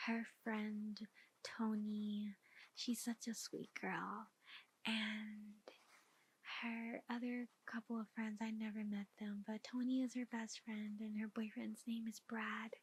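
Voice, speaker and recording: A woman playing a flustered, defensive mother of a missing daughter, speaking softly and hesitantly in an ASMR roleplay. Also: fairly high pitch, flat delivery, slow pace, husky. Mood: hesitant, apologetic. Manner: hesitant, emotional, rambling excuses. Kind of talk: ASMR roleplay, soft-spoken.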